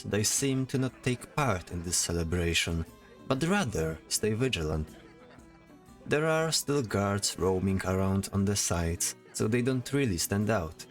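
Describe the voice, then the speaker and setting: A man narrating a scripted fantasy audio drama as its storyteller. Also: on the low side.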